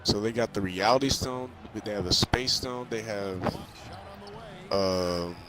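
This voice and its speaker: A man casually chatting through a movie review, haltingly, often repeating and restarting his phrases. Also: on the low side, background noise. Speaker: video host. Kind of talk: casual chat, movie review over a video game. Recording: basketball game crowd and bouncing behind. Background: basketball video game crowd and ball bounces.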